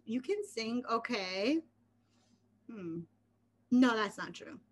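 A woman's voice: on the high side, animated, takes it slowly. A middle-aged woman hosting a casual interview over a video call from home, chatty and encouraging with plenty of fillers. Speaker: interview host. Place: home room with slanted wooden ceiling. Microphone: laptop webcam on video call.

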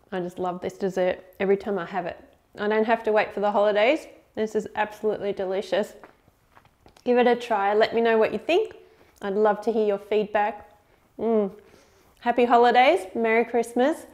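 A woman's voice: mid-range voice, steady tone, normal speed, long pauses, a little mellow. Brief: woman in her 30s, friendly home cook host, chatty step-by-step talk in a kitchen. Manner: upbeat, chatty, explains step by step. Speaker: cooking show host. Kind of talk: friendly recipe demonstration.

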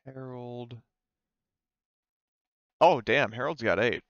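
A man improvising narration as game master of a tabletop game, speaking in a halting, informal way. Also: mid-range voice, fairly bright tone, no echo, lo-fi sound. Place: indoors, online game session.

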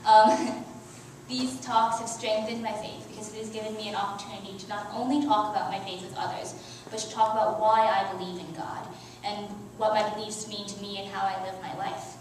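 An earnest teenage girl reads her youth sermon from a church lectern, stumbling now and then on a word. Her voice sits at an ordinary pitch and washes through a very echoey sanctuary, picked up from a distance with a faint hum of room noise. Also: steady tone, fairly quick. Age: teens. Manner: earnest, with soft laughs and small restarts. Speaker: youth speaker. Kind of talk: reading a prepared sermon. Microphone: headset mic, filmed by far camera.

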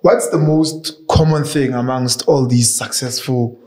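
A man's voice: medium pitch, fairly even intonation, a little slow, a little mellow. A South African man hosting a podcast interview, asking questions and adding brief encouraging remarks. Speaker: podcast host. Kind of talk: casual interview. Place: furnished studio room with bookshelves. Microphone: podcast boom mic.

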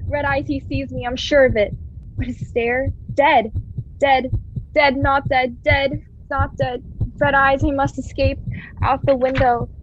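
A woman's voice: sits high, little pitch movement, a little slow, lo-fi sound, slight background noise. A woman playing a haunted, fearful young man in a dramatic radio play. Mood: terrified, frantic. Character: haunted, terrified young man, Jonathan Harker. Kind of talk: stage-style radio play acting.

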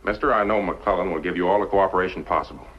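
A sympathetic frontier marshal, folksy and plain-spoken. His husky, lowish voice moves quickly, on a muffled old western soundtrack. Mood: concerned, friendly. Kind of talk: 1950s western TV acting.

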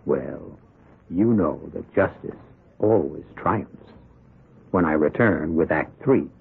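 An actor as an ominous, wry storyteller hosting a 1970s radio mystery, deliberate and theatrical with dramatic pauses. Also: male voice, extremely low pitch, animated, gravelly, noisy background, heavily acted. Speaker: host and narrator. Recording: muffled 1970s radio broadcast, music behind.

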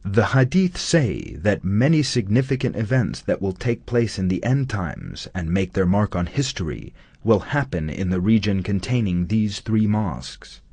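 A man narrating a scripted documentary in a measured, solemn studio voice-over. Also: on the low side, very animated, darkish tone, breathy edge, dry recording.

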